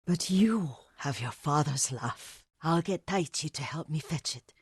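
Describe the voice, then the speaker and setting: An actress playing a frail, devoted elderly Japanese herbalist in a video game, speaking gently and wistfully with a husky, breathy voice. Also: female voice, sits low, expressive, no echo, clean studio sound, exaggerated voice. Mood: fond, matter-of-fact. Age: older. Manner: gentle, wistful, slow and halting. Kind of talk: dramatic video game voice acting.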